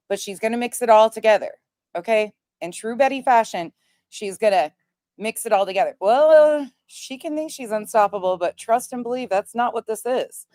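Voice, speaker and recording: A woman's voice: on the high side, a little mellow, dry recording, studio quality. An American woman giving casual commentary as an online host, emphatic and explanatory, repeating points for stress. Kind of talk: casual commentary, reading documents aloud.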